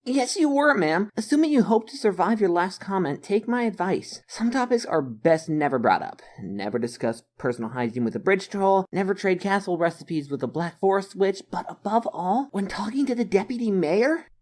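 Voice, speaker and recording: A woman's voice: mid-range voice, lively intonation, moderate pace, hardly any pauses, darkish tone, close to an everyday voice. Woman as a stern, icy female official. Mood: icy, scolding. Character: stern, icily scolding female deputy mayor. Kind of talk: comic dub voice acting.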